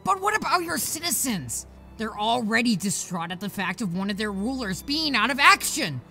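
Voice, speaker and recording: A dutiful royal adviser, anxious and scolding, as a woman plays her. Her bright, slightly high voice jumps around with worry. Faint music sits behind her. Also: very animated, moderate pace, full character voice. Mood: worried.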